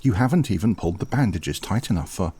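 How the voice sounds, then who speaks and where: A man plays a dutiful older manservant with a low, soft-edged voice. He speaks formally and with dry, fatherly reproach, his pitch rising and falling expressively. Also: lively intonation, husky, heavily acted. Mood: gently insistent. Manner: formal, measured, politely dry. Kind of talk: audio drama acting.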